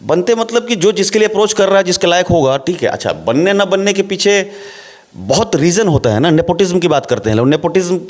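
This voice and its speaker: A middle-aged man, an actor, talking in a relaxed interview and laying out his points with rhetorical questions. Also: high voice, a little fast. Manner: explains at length with rhetorical questions.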